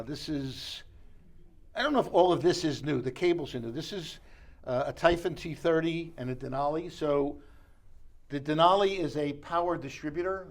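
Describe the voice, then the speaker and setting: A man working as an audio dealer, explaining gear informally and correcting himself as he goes. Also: fairly high pitch. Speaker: audio dealer giving a product demonstration. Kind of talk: informal show-room product walkthrough. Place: exhibition suite at an audio show.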